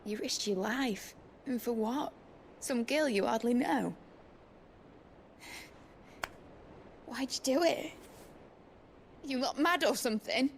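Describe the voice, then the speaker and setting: An actress playing a brash, cheeky young engineer with a British accent, talking colloquially in a game cutscene. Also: female voice, fairly high pitch, measured pace, slight background noise. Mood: challenging. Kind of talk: video game cutscene acting.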